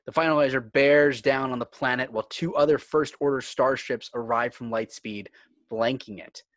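A man's voice: medium pitch, fairly even intonation, moderate pace, a bit bright, no room sound. A man reading screenplay stage directions as dramatic scripted narration.

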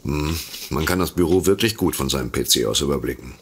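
An actor playing a dry, skeptical detective in a comedic audio drama, speaking in a measured, sardonic way. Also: male voice, extremely low pitch, slight background noise. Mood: matter-of-fact. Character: dry, skeptical male detective, the sensible partner.

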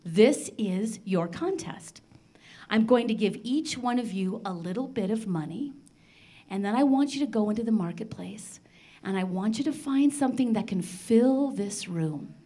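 A woman storyteller voicing an aging, fair-minded farmer father who deliberately instructs his children. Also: medium pitch, animated, dark tone, lightly acted. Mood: instructing.

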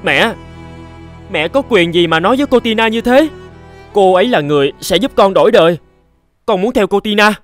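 Cartoon teenage boy, poor, resentful dreamer, emotional delivery, raised pitch, faint music. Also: lively intonation, fairly quick, pauses often. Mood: angry, accusing. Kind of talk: animated moral fairy-tale dubbing.

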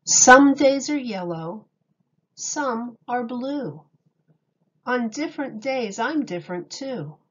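A woman reading a children's picture book aloud like a teacher, deliberately and expressively, with dramatic emphasis on key words. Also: a little high, expressive, highs cut off.

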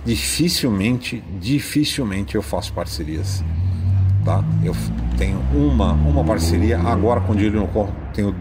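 A man's voice: on the low side, expressive, normal speed, heavy background noise. A man with a Brazilian accent, hosting a car channel, casually walking through a website in an informal, chatty voice-over. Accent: Brazilian Portuguese. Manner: chatty, informal, thinks aloud with fillers. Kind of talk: casual screen-share walkthrough.

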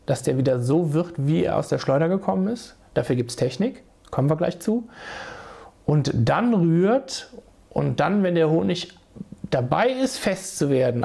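Hoarse, gravelly man with a cold. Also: fairly high pitch, warm tone. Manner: chatty, restarts sentences, addresses viewers directly. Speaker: beekeeper. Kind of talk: casual how-to video. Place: small home room.

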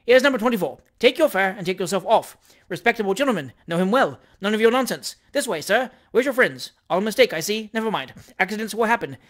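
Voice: mid-range voice, animated, fast, hardly any pauses, a bit bright, natural voice. A narrator voicing a brash, thin young man who rattles off clipped, broken sentences with great volubility. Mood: breezy, brisk. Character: brash, voluble, thin young gentleman stranger, breezy chancer.

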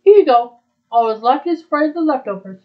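A woman with a fairly high voice chats in a casual, conversational way. The recording is slightly dull and lo-fi. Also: lively intonation, normal speed. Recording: dull, muffled lo-fi audio.

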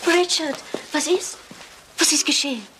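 A woman's voice: high-pitched, measured pace. A woman playing a distraught, anxious, pleading wife in a German radio crime drama. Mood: desperate, pleading. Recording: noisy, hissy old radio play recording.

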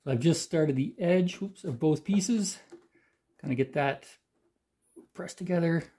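Mellow, husky-voiced man demonstrating a repair. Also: a little high, measured pace. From Canada. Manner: relaxed, plain-spoken, talks while working. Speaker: DIY channel host. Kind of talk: hands-on how-to demonstration. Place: garage workshop.

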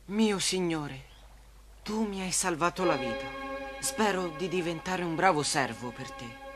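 A humble, wide-eyed young servant boy in an animated film. His voice is high for a male and swings expressively with earnest feeling. Music plays behind him. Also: measured pace. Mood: grateful, earnest. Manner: earnest, polite, exclamatory. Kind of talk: animated cartoon dub acting.

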